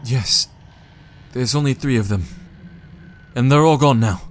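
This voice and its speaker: A man's voice: medium pitch, unhurried, slight background noise, lightly acted. A man playing a defiant, haunted young Victorian vampire hunter in a horror audio drama, earnest and intense. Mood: grave.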